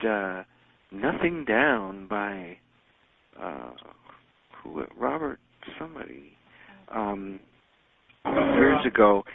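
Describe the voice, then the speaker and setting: A man's voice: lowish voice, creaky. A man hosting a teleconference, chatting casually and rambling with many fillers and restarts. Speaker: teleconference host answering callers. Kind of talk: casual phone chat. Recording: phone-line audio.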